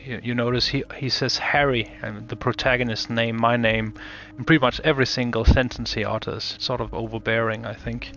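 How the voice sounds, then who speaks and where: A man's voice: mid-range voice, fairly even intonation, a little fast, vocal fry, few pauses, fairly bright tone. A man in a casual analytical discussion, speaking tentatively and thinking aloud as an interviewer. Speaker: interviewer researching game voices.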